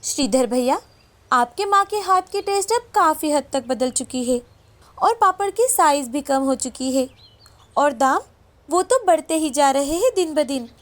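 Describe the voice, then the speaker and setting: A woman's voice: high voice, lively intonation, unhurried. A woman voicing a frank, complaining female customer in a moral story. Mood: disapproving. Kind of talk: animated moral story dialogue.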